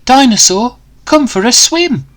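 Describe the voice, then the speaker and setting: An eager young boy adventurer from a children's storybook, acted out by a man. The voice is pitched up high and exclaims boldly, full of excitement and pluck. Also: measured pace, no echo, heavily acted. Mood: inviting.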